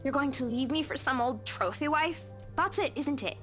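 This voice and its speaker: A woman's voice: high-pitched, normal speed, fairly bright tone. A woman playing the mocking, vengeful ghost of a jilted young woman in a visual novel game, taunting and accusatory. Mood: bitter, taunting. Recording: music behind the voice.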